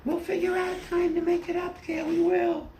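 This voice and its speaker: A woman voicing an apologetic best friend who pleads in a high, defensive way, recorded from a distance. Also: little pitch movement, normal speed, mellow, slight background noise. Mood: pleading. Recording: roomy, distant home recording.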